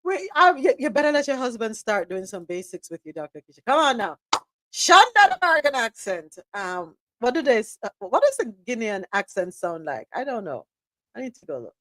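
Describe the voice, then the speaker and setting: Woman co-host, fairly high voice, dry close mic, teasing banter. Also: very animated, brisk, fairly bright tone, dry recording. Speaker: podcast co-host. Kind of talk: casual news chat.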